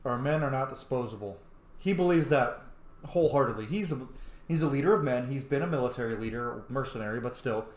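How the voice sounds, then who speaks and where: A man talks casually through a game in a roomy-sounding space. His pitch sits in the middle, and he muses aloud, often addressing the characters directly. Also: a little fast. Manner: musing aloud, talking back to characters. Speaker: streamer playing a role-playing game.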